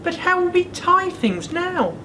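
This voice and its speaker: A man's voice: very high voice, sing-song, a little slow, voice pushed up, full character voice. A man voicing a polite, patient but firm woman in a storybook, with a prim manner. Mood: indignant. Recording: faint background noise.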